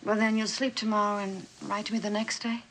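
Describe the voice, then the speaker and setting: An actress playing a gentle, lonely young aunt in a vintage television drama, speaking tenderly and hesitantly. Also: female voice, mid-range voice, level tone, fairly quick, slight background noise, natural voice, rough recording. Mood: wistful. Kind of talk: 1960s television drama acting.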